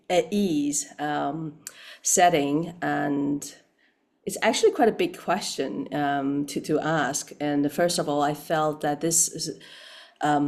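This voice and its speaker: Low, slow woman, thoughtful. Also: a little mellow. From Taiwan. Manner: hesitant, searching for words, thoughtful. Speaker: guest, practitioner. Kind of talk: reflective interview answer.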